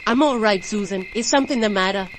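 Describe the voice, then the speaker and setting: A polite, tentative mother played by a woman, new to the bayou and quietly uneasy, speaks in a horror radio play. Her voice sits at middle pitch, with crickets and frogs clearly audible behind it. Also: quick pace, close to an everyday voice. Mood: uneasy, curious. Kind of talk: horror radio drama acting. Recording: clear background noise of night insects. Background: crickets and frogs at night.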